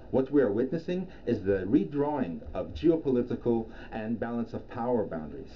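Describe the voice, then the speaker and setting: Middle-aged Persian-speaking man, dull noisy recording. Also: mid-range voice. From Iran. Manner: formal, measured, deliberate phrasing. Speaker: professional lecturer. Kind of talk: scripted promotional presentation. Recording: dull lo-fi sound, clear background noise.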